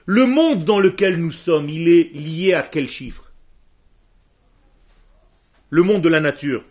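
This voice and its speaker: Husky, animated male religious teacher. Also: fairly high pitch. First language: Hebrew. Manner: emphatic, asks the room questions, answers students quickly. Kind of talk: teaching a class with questions from students.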